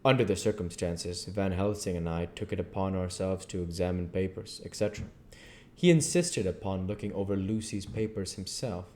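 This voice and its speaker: A man reading a novel aloud at a measured pace, with occasional slips and restarts. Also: low voice, mellow. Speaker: audiobook reader.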